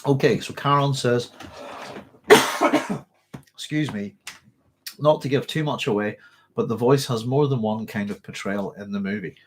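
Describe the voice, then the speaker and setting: A man's voice: medium pitch, unhurried, long pauses, noisy background. A man with a Northern Irish accent, hosting a casual live stream, chatting and reading comments, his throat tickly with a cough. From Northern Ireland. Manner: rambling, chatty, frequent fillers and restarts.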